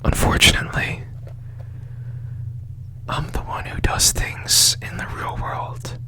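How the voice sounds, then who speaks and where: Man playing mysterious obsessive fixer, male, ASMR roleplay, hushed whisper, long deliberate pauses, drawn-out pace. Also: natural voice. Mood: intense, secretive. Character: strange secretive man obsessively repairing a machine.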